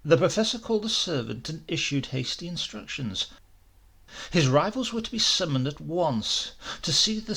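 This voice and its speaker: Gravelly male narrator of dramatic horror fiction. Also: medium pitch, bright, forceful tone. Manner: theatrical, emphatic, formal delivery. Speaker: audiobook narrator.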